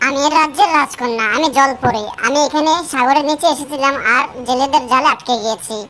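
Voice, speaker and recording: A woman acts a young mermaid princess from a cartoon fairy tale. The voice is high and bright, fast and plaintive, anxious yet friendly. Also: steady tone. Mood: distressed. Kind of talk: children's cartoon story acting.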